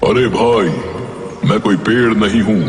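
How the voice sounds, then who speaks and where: A slow, low-voiced tree spirit played by a man, an angel under a curse, gruff and solemn. The cartoon voice rings out in long, echoing reverb, commanding and unhurried. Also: steady tone, close to an everyday voice. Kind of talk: Hindi cartoon story voice acting. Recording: echoing hall reverb, faint music behind. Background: music.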